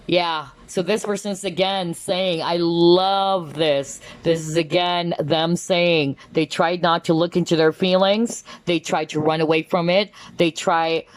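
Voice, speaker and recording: A woman giving a casual tarot card reading, chatty and animated, often exclaiming and checking in with tag questions. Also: fairly low pitch, measured pace, few pauses. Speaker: tarot reader.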